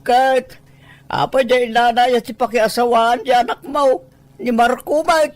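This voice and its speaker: A woman's voice: on the high side, steady tone, normal speed, no room sound, natural voice. Woman voicing a firm, coaxing family uncle, close-miked. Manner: coaxing, mediating. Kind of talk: Ilocano audio drama acting.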